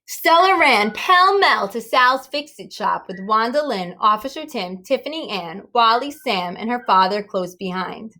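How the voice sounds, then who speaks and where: Animated, high-voiced woman reading a storybook. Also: unhurried, few pauses. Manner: animated, engaging questions to listeners. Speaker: storybook reader. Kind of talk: expressive children's read-aloud with asides to listeners.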